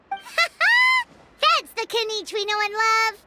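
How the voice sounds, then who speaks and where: Childlike fairy companion, extremely high, unhurried, cheerful, video game character, music underneath. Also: female voice, sing-song, heavily acted. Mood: cheery. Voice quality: childlike, squeaky. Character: small cheerful hungry fairy girl companion. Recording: loud music behind the voice. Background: loud background music.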